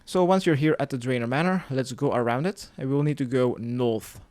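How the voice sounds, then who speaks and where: A man's voice: medium pitch, fairly quick, a little mellow. A man narrating a step-by-step video game guide, giving plainly worded instructions as a tutorial voice-over. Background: music.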